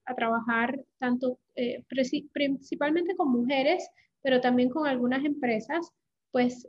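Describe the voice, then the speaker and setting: High-voiced woman, light Puerto Rican accent. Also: muffled recording. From Puerto Rico. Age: 40s. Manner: earnest, fluent, with many fillers. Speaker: guest, creativity coach. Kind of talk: casual podcast interview.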